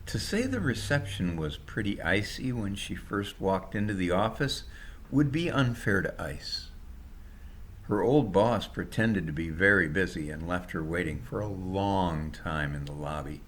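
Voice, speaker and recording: Slow, low-voiced man narrating a story. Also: lively intonation, fairly bright tone. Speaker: author reading own story. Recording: noticeable background noise.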